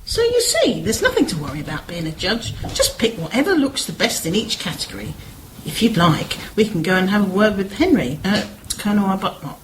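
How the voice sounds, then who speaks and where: A woman's voice: medium pitch, very animated, fairly quick, noisy background, lightly acted. A woman acting a cheerful, coy, reassuring village sister in an amateur radio play.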